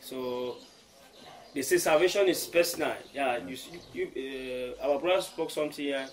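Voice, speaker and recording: Cameroonian man, English-native host, hesitant, mid-pitched, full of fillers. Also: unhurried. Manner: hesitant, many fillers, mixes French and English. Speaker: host of the gathering. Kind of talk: halting welcome speech. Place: room in a private home.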